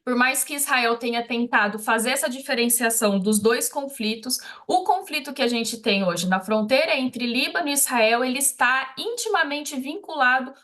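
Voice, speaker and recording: A woman's voice: high voice, animated, normal speed, hardly any pauses, fairly bright tone. A woman with a Brazilian accent giving spontaneous analytical commentary as an invited expert, explaining things with frequent short check-in tags. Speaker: expert commentator interviewed on a news show.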